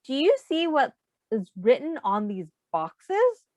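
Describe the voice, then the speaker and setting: A woman reading a children's storybook aloud in an expressive, simple teacher's manner, pausing to put questions to young listeners. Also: sits high, no echo, dull audio.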